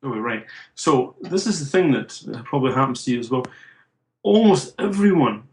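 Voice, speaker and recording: A man's voice: mid-range voice, big pitch swings, moderate pace, a little mellow. Wry Scottish man on a call. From Scotland. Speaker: guest, comic book writer. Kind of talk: casual interview over a call. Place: home in a city in Japan. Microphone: internet call.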